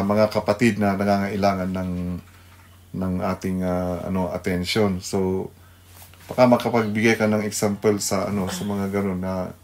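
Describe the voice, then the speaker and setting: A man with a Filipino accent giving an unscripted devotional talk as a radio host, haltingly searching for words. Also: lowish voice, a little mellow.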